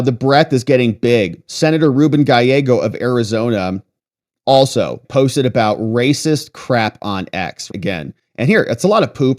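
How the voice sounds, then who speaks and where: A man's voice: medium pitch, a little mellow. An American man hosting political commentary, reading articles aloud and breaking in with sarcastic, mocking asides.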